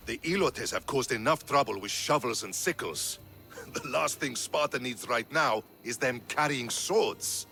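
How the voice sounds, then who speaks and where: A shrewd, loyal soldier in a game cutscene, with a gravelly, bright-edged voice of middling pitch. He explains things in measured phrases. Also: faint music behind. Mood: serious briefing. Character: friendly, measured Spartan officer, shrewd and loyal. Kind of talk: dramatic video game cutscene dialogue.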